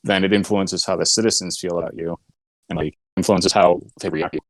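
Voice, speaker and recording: A man's voice: deep voice, fairly even intonation, brisk, no echo, rough recording. A man co-hosting a podcast, explaining things in a casual, rambling way. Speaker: podcast co-host.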